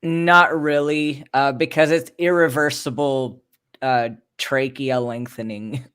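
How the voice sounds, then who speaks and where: A man with a mid-pitched voice speaks in a casual group conversation. He explains things earnestly and informally, often doubling back on his phrases. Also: steady tone, unhurried, a bit bright, clean tone.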